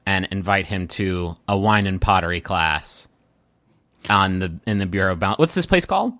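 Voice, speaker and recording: A man improvising narration as game master of a tabletop roleplaying game, halting and rewording as he goes. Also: low-pitched, lively intonation, pushed and bright, dry recording.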